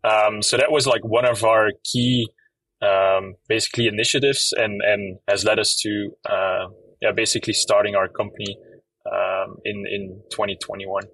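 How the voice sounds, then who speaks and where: Flemish-accented Belgian man, low voice. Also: moderate pace, no room sound, distant mic, poor audio. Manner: relaxed, many fillers, explains at length. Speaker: app company co-founder, guest. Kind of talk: casual interview.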